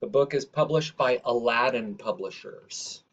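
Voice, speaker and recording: A man reading a children's picture book aloud in a measured, deliberate way. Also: mid-range voice, fairly bright tone. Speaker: reader. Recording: dull, lo-fi sound.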